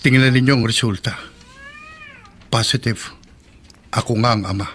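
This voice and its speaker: Radio drama: male actor as a firm, commanding politician father, mid-pitched, faint hiss behind. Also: normal speed, fairly bright tone, natural voice. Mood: steady.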